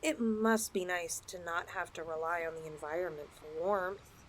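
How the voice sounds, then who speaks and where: A woman's voice: on the low side, steady tone, moderate pace, noisy background, lightly acted. A woman playing a possessive, teasing snake girl in an ASMR audio roleplay, with a coy, cooing delivery. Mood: cooing, fascinated. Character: possessive, teasing young yandere lamia girl, half snake.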